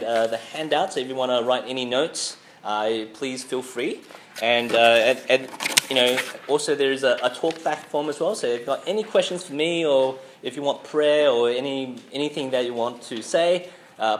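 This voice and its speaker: A man's voice: mid-range voice, slight background noise. A man who is sick, preaching a sermon with many fillers and restarts. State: sick, voice a bit off. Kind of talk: sermon to a student group.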